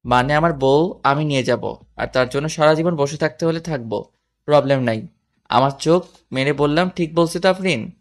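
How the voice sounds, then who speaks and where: A woman playing a doting, confident young husband in a romantic audio story, with a calm, teasing manner. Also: low voice, normal speed, lightly acted. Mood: charming, confident. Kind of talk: scripted audio story acting with some first-person narration.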